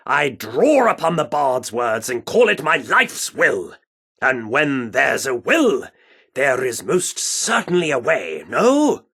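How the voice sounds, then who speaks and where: An actor playing a pompous, excitable British theatre director in a video game, speaking in grandiose, florid Shakespearean style. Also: male voice, a little high, full character voice. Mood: grandiose, courteous.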